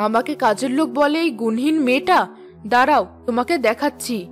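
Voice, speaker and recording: A woman's voice: high-pitched, brisk, faint music behind, lightly acted. A woman playing a nagging, sarcastic village housewife in a cartoon. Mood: scornful. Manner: sarcastic, argumentative. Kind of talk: cartoon voice acting.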